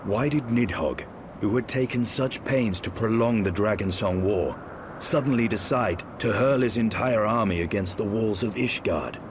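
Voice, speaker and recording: An actor playing a grim, vengeful, war-weary knight in a fantasy video game, speaking in solemn, archaic phrases. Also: male voice, fairly low pitch, moderate pace, background noise, lightly acted. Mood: grave. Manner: solemn, archaic formal speech. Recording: music behind the voice. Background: loud game score.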